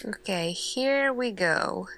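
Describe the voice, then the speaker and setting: A woman performing an ASMR sci-fi roleplay as an earnest, thoughtful lead scientist, speaking deliberately with pauses. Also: medium pitch, animated, fairly bright tone. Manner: slow, deliberate, pausing, questioning delivery. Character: earnest, thoughtful female lead scientist addressing her team.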